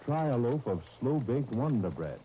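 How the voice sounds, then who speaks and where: A man's voice: mid-range voice, very animated, moderate pace, mellow, highs cut off, faint music behind. A man reading scripted commercials and announcements as a 1930s radio announcer, on a vintage broadcast disc.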